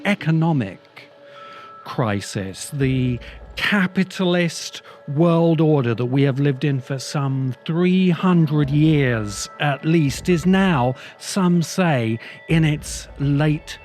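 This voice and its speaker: A man hosting a podcast as a storytelling monologue, speaking deliberately with dramatic pauses and emphatic repetition. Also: a little high, expressive, darkish tone, faint music behind. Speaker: podcast host.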